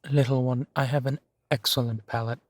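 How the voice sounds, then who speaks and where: A man playing a courteous, gently protective vampire gentleman in an intimate roleplay audio drama, with formal, measured phrasing. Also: medium pitch, steady tone, no echo, lightly acted. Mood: apologetic, courteous. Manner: formal, polite, measured phrasing with soft sighs.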